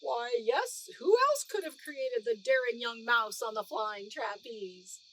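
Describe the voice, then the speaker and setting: A woman's voice: on the high side, expressive, slow, fairly bright tone, heavily acted. A woman voicing an earnest, formal, easily flustered male museum judge in a storybook read-aloud, given to exclaiming.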